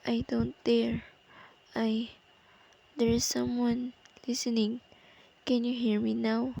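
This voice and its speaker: A woman's voice: a little high, little pitch movement, slow, vocal fry, dark tone, no room sound, dull audio, heavily acted. A woman playing a nervous, demanding, frightened invalid alone at home in a radio play, anxious and insistent. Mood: terrified, pleading.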